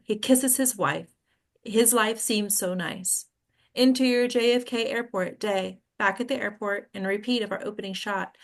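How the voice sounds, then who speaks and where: A woman hosting a table read, reading out screenplay stage directions as scripted narration. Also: medium pitch.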